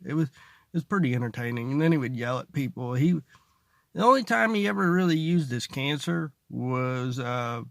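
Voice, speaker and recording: Creaky-voiced man rambling with dry sarcasm. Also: medium pitch, animated, normal speed, no echo. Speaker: stand-up comedian, vlogger. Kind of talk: casual comedic monologue to camera. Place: inside a parked car.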